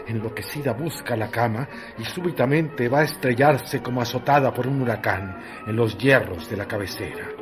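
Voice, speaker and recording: A man narrating a radio novel in a slow, measured and intense way. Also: mid-range voice. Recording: narration over loud music.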